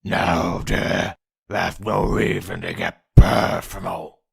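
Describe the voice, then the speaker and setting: Hulking beast for a comic dub: very gravelly, slow, rasping, gruff. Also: male voice, deep voice, fairly even intonation, heavily acted. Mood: offended.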